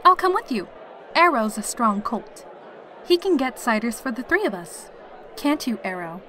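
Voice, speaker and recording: A woman's voice: on the high side, big pitch swings, normal speed, pushed and bright, a faint crowd, slight background noise. A woman voicing a carefree, teasing female pegasus soldier in a fan audio drama. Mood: cheerful, teasing. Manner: breezy, teasing, cheerful.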